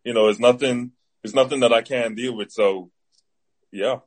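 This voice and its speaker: A man's voice: medium pitch, fairly even intonation, normal speed. A man in his twenties from the Bahamas with a Bahamian accent, an athlete giving casual interview answers as a guest. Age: 20s. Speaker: interview guest, football player.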